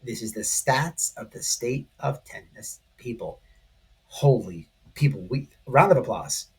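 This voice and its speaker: A man giving casual, excitable online commentary, speaking emphatically to his viewers and repeating his points. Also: mid-range voice, unhurried, slightly rough, low-quality recording. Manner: excitable, emphatic, repetitive, addressing viewers directly. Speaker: online commentary channel host. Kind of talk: casual, informal video commentary.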